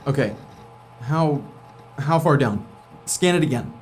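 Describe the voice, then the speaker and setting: A man's voice: medium pitch, lively intonation, slow pace, soft background music. Man playing an irritable, sarcastic astronaut. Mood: puzzled, urgent. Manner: curt, sarcastic, muttering. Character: irritable, sweary, defensive male astronaut. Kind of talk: naturalistic sci-fi audio drama acting.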